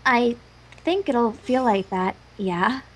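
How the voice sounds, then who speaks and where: A woman reading character lines aloud amid casual banter, lively and sometimes tripping over words. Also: fairly high pitch, slow pace. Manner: animated, stumbles over words. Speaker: fan fiction co-reader.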